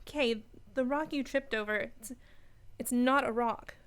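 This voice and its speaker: An actress playing a sensible, slightly bossy young city woman in a radio play, brisk and explanatory. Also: fairly high pitch, fairly bright tone. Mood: grave.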